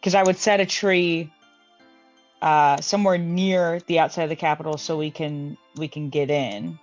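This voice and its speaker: A woman with a somewhat low pitch talks casually at a tabletop game, thinking out loud and restarting her sentences. The mic is dull and dry, and soft music plays underneath. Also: steady tone, normal speed. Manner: thinks aloud with many restarts and fillers. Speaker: player. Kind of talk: casual tabletop planning chat.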